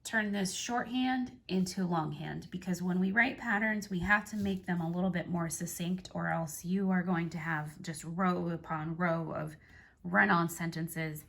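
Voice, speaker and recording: A woman with a drawl talks through crochet pattern terms in an unhurried, casual way. Her voice is hoarse, stuffy and gravelly from allergies, pitched a little low. She spells out letters one at a time before explaining them. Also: fairly even intonation, fairly bright tone. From North Carolina, United States. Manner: slow, careful spelling out of abbreviations. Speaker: crochet teacher. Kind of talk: casual tutorial.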